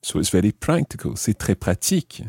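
A man hosting a language lesson, explaining in a deliberate way and repeating phrases word by word. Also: fairly low pitch, very animated, vocal fry, a little mellow, slightly rough, no room sound, studio quality. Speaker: language teacher and podcast host.

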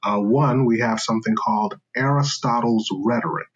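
A man's voice: mid-range voice, fairly even intonation, normal speed, husky, muffled recording. A man speaking as a scholar guest in an interview, explaining carefully in a lecturing way with fillers and restarts.

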